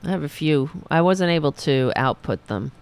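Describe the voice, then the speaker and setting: A woman hosting a political talk livestream, hoarse after a long show, rambling and sarcastic as she talks to her chat. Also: deep voice, lively intonation, moderate pace. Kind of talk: casual livestream commentary.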